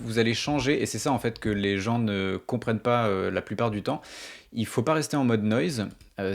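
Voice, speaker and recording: Man presenting a casual tutorial. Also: medium pitch. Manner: colloquial, explanatory. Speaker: music production tutorial host. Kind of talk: informal step-by-step software tutorial.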